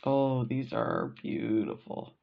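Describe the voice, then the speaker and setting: A woman's voice: very deep voice, very animated, slow, gravelly, phone-quality sound. A middle-aged woman with a Canadian accent chatting casually and gushing as she unboxes beads for her vlog, with plenty of fillers. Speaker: beading vlogger.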